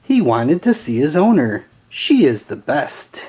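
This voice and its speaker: A man reading a children's storybook aloud with an expressive, sing-song storytelling delivery. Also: a little high, a little slow, husky. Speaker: storybook reader.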